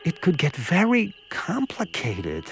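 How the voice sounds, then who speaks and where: An actor playing a naive, philosophical village simpleton telling a cartoon folk tale, in folksy, musing asides. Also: male voice, a little high, huge pitch swings, slow, creaky, heavily acted. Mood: worried, indignant. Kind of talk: comic folk-tale narration for animated short. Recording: music behind the voice. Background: music.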